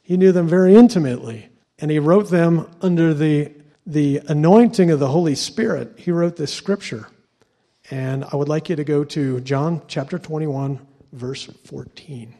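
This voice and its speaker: A man's voice: fairly high pitch, normal speed, mellow. A man from Ohio giving a sermon to a church congregation as a guest lay preacher, stumbling and repeating words with lots of fillers. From Ohio, USA.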